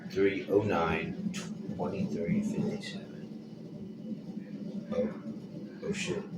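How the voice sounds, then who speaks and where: A man's voice: low-pitched, steady tone, slow pace. A man giving casual reaction commentary, hesitantly musing aloud as he watches. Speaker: reaction video host. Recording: echoey room, loud noise, video audio underneath.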